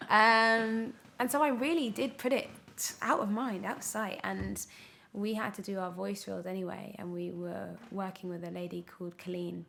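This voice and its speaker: A young woman chatting casually as an interview guest, telling her story in an animated, expressive way. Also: medium pitch, moderate pace, gravelly, slight background noise. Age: young adult. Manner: animated storytelling, acts out her own past thoughts. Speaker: podcast guest, radio drama actor.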